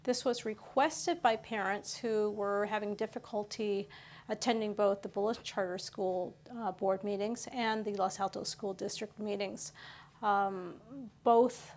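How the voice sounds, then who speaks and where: A woman hosting a local news program, talking through the stories conversationally with frequent pauses and fillers. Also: mid-range voice, fairly even intonation, a little mellow.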